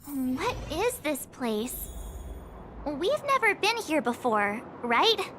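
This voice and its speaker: An actress playing a curious, excitable young girl companion in a video game. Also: high voice, slow pace, heavily acted. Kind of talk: scripted video game voice acting. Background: game music.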